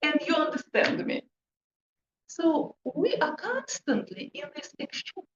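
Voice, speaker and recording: Woman giving a talk, english isnt her first language, high voice, slow and emphatic, roomy sound. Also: very animated. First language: not English. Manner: emphatic, questioning, short deliberate phrases. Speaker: presenter, hypnotherapist. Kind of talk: interactive talk to a group. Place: room with an audience, indoors. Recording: echoey, muffled room recording with background noise.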